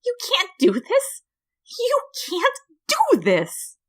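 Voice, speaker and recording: Lady doing a tiny evil flower guy, shrieky and sneering, way up high, kinda loud. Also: wildly swooping pitch, slow, exaggerated voice. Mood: shrill taunting. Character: small spiteful male flower monster, taunting.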